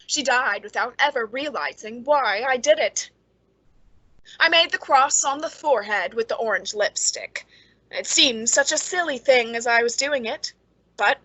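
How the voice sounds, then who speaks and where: Woman acting a high, bright, cold murderess. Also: no echo, poor audio. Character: cold, gleeful murderess narrating her crime. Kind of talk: radio suspense drama narration and dialogue.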